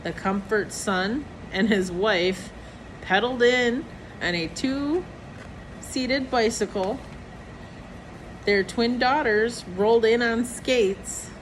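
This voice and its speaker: A woman narrates a children's storybook in a fairly high voice. She goes very slowly and deliberately, giving the characters' lines a little lift. There is faint noise behind her. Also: poor audio. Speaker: storybook reader. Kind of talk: read-aloud children's picture book narration.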